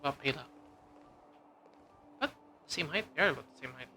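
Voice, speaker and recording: A man's voice: fairly high pitch, lively intonation, moderate pace. A man streaming, giving casual, offhand commentary as he plays a game.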